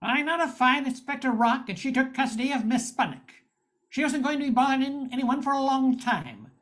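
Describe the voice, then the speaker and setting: A woman's voice: high-pitched, lively intonation, fairly quick, slight background noise. A woman playing a wounded, wistful noir hero who narrates an amateur space radio play.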